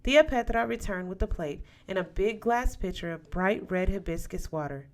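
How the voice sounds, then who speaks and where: A woman reads a story aloud in the first person. Her voice sits at a medium pitch, and the delivery is that of scripted audiobook narration. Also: expressive, normal speed. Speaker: audiobook reader.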